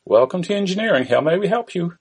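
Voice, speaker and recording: A man's voice: high voice, lively intonation, fast talker, breathy edge, pitched above the performer's own voice, full character voice. A man voicing a polite, unflappable Indian call-centre agent with a put-on Indian accent and scripted questions. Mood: polite, unhelpful.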